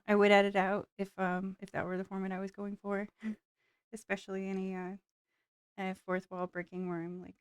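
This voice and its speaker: A woman's voice: lowish voice, a little mellow, clean tone, dry recording. A woman vlogger chatting casually while building miniatures, her voice tiring from long talking, meandering with lots of fillers. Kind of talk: rambling casual chat while building models.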